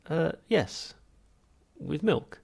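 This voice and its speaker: Man acting a tart, husky, exasperated everyman. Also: a little high, big pitch swings, drawn-out words, darkish tone, pitched above the performer's own voice, heavily acted. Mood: meek, hopeful. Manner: tart, pleading, indignant. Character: exasperated, tart, polite everyman craving tea. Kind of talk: audiobook character voice.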